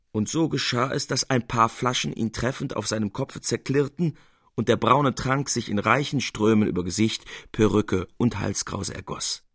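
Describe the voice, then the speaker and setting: A man narrating a literary tale expressively, with measured, theatrical emphasis. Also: medium pitch, gravelly, fairly bright tone, husky, no echo, studio quality.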